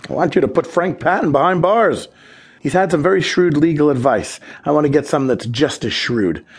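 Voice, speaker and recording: A man's voice: fairly high pitch, animated, moderate pace, husky. An American actor playing a determined, indignant small-town businessman in a radio drama, earnest and formal. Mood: insistent, determined.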